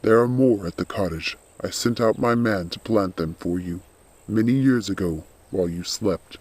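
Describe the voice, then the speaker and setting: A man playing a loyal undead skeletal servant in a storybook, speaking in a grave, earnest, measured and protective way. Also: lowish voice, a little mellow, natural voice. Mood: grave, urgent.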